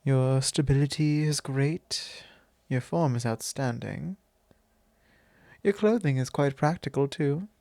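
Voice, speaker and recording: Man playing a slow-spoken, doting vampire lord. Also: mid-range voice, creaky, no echo. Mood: approving, encouraging. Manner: soft, drawn-out, genteel old-fashioned phrasing. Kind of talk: ASMR roleplay. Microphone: close microphone.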